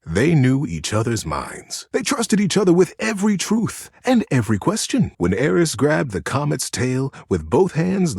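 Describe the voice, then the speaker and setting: A man voicing a gentle, earnest male teddy bear in a video game, telling bedtime stories in a soothing, expressive way with dramatic pauses. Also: mid-range voice, husky, no room sound, clean studio sound.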